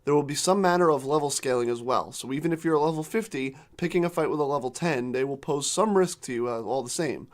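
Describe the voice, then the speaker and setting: Casting: male podcast co-host, a bit hoarse, slightly higher voice, reads news stories in a relaxed tone. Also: fast, hardly any pauses. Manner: clears throat, reads quotes in runs. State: losing his voice. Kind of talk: casual chat, reading news aloud.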